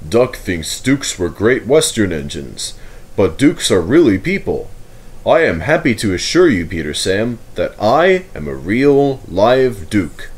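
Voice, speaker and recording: A man's voice: fairly low pitch, sing-song, measured pace. A man voicing a kindly, formal middle-aged duke speaking courteously in a storybook reading.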